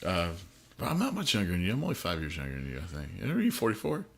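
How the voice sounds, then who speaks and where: Man, late 30s, podcast guest; very low, animated voice, dry teasing humor. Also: fast. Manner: dry teasing quips, laughs easily. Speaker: podcast guest, bass player. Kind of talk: casual chat.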